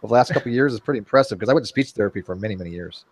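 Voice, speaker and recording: Man, video call host, fast talker, animated pitch, self-deprecating, rambling. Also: breathy edge, no room sound. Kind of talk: casual group video chat.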